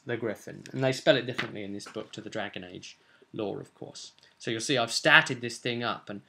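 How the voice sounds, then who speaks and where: A man's voice: medium pitch, bright, forceful tone. A young adult man talking casually as a game master explaining a tabletop role-playing session, stressing key words conversationally. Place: small cluttered room at home, indoors.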